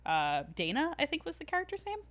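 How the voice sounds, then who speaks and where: A woman's voice: high voice, huge pitch swings, fast, a bit bright. Casual woman podcast host telling stories. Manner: informal, giggly, swears freely. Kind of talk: casual conversational storytelling.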